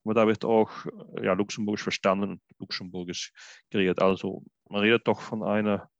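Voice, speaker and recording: A man with a lowish, gravelly voice speaks in a loose interview, pausing often and restarting his sentences. The sound is dry and close, with no room around it. The recording is dull and lo-fi. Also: level tone, no echo. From Belgium. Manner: hesitant, many fillers and restarts. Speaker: interpreter and podcast guest. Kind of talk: casual interview conversation.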